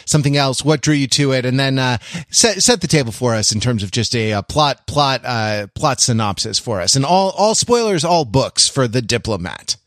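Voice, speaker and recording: An American man hosting a podcast in a casual, wry way, with halting, wordy, mock-formal phrasing. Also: mid-range voice, expressive, fairly bright tone, no room sound, clean studio sound. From California, United States. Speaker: podcast host.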